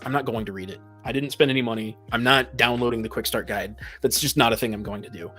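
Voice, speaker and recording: A man's voice: mid-range voice, steady tone, fast talker, pushed and bright, faint music behind. A man in a casual podcast discussion, making his points emphatically and restating them. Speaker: podcast panelist. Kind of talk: casual opinionated discussion of tabletop games.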